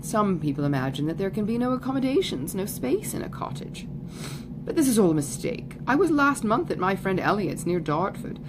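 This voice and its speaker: A woman voicing a conceited, foppish young gentleman in an audiobook, with a pompous, affected, effusive manner. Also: medium pitch, sing-song, a little fast, close to an everyday voice. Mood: boastful, effusive. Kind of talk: audiobook character voice. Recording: clearly noisy recording, background ambience.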